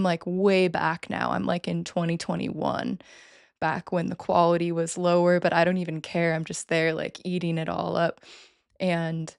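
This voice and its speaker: A woman's voice: lowish voice, gravelly, no room sound, studio quality. A woman guest in a casual interview, talking enthusiastically with many fillers and restarts. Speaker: podcast guest.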